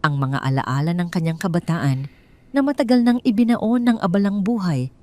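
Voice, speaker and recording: A woman with a Filipino accent reads a scripted dramatic story in a solemn, deliberate way, with short pauses between phrases. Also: on the low side, animated, darkish tone, no room sound. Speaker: story narrator.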